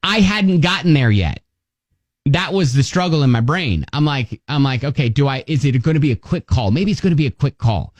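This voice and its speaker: A man co-hosting a radio show, chatting casually and telling comic personal stories in an animated way, acting out his own thoughts as dialogue. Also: on the high side, brisk, no echo.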